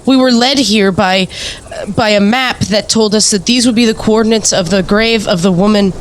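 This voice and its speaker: A woman's voice: medium pitch, moderate pace, a little hiss or hum, close to an everyday voice. A woman playing a nervous, earnest investigator in a spooky audio play, hesitant, with sighs and gasps. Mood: earnest, curious. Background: music.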